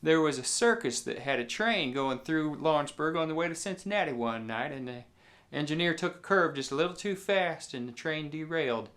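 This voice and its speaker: A man from southeast Indiana spins a tale in a rural southern Indiana accent. His voice sits a little high for a man. He talks in folksy, colloquial phrases and lets pauses fall between them. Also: expressive, fairly bright tone. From southeast Indiana, United States. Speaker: musician and storyteller. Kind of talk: folksy storytelling to camera.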